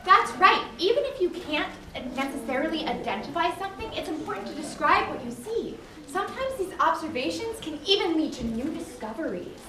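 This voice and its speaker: Puppet-show ocean guide played by a woman: very high, animated, encouraging teacher, echoey room. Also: a little fast, few pauses, crowd noise. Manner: explanatory, encouraging. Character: cheerful guide teaching about ocean habitats. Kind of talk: children's puppet show acting. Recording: echoey, distant live recording with clear noise.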